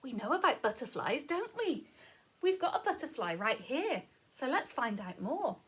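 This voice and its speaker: Animated high-pitched woman reading to children. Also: moderate pace, breathy edge. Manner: sing-song, expressive, prompting listeners with questions. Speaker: teacher reading a story to children. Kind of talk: animated children's story read-aloud with explanations.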